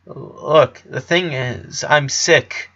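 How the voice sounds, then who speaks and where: A man voicing a sick eleven-year-old boy in a story reading, weary and subdued, with halting speech. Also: mid-range voice, creaky, a bit bright, muffled recording, voice pushed up, heavily acted. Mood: hesitant.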